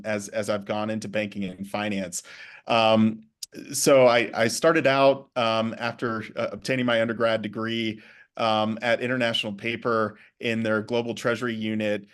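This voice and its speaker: A man speaking as a guest in a class talk, pausing often with ums and restarting words. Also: fairly low pitch. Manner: hesitant, many ums and restarts. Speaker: guest speaker, bank vice president.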